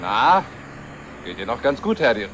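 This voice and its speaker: An actor playing a wry, easygoing young truck driver in a 1960s German TV drama. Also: male voice, medium pitch, big pitch swings, normal speed, noisy background, natural voice. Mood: laconic. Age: young adult. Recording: old 1960s TV soundtrack with vehicle sounds.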